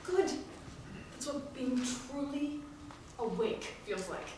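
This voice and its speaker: A woman's voice: sits high, animated, takes it slowly. A woman playing a blunt, protective young woman in a stage musical comedy, assertive and wry. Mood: wry, firm. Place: live staged reading before audience. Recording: echoey stage, distant mic, faint noise.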